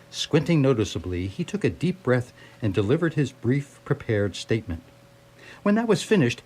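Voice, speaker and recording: A man's voice: medium pitch, darkish tone, low-quality recording. A man narrating a scripted radio drama as storyteller.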